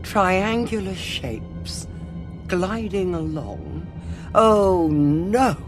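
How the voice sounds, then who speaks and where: A man's voice: high voice, very slow, in a large hall, music in the background. An actor playing a cautious, anxious man leading an exploring party in a sci-fi audio drama. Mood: hushed alarm.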